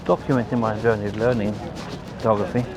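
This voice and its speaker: A man with a London accent giving casual, rambling, low-key commentary as he films a walk outdoors. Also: fairly even intonation, fast, music in the background, poor audio. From London, England. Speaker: amateur photographer, vlogger. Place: outdoors on a riverside walkway. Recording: outdoor city noise behind voice.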